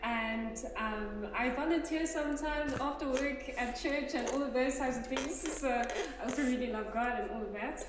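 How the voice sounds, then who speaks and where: A South African-born woman, a guest in a casual group chat, relaxed and brief. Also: high voice, normal speed, few pauses. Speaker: guest, UX researcher. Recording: distant mic, echoey hall, background noise.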